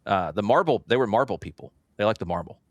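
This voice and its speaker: A man's voice: fairly low pitch, lively intonation, quick pace, no echo, highs cut off. A man hosting a sports show, talking casually through soccer match previews and steering the discussion.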